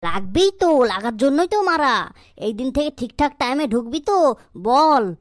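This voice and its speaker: A woman voicing a strict, scolding schoolteacher in a comedy cartoon, stern and quick to interrogate and threaten. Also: high-pitched, animated, no room sound, studio quality. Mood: angry scolding.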